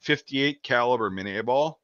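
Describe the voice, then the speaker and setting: A man's voice: mid-range voice, normal speed, a bit bright, dry recording, muffled recording. A man hosting a casual livestream chat, profane and rambling, often restarting his sentences. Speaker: show host.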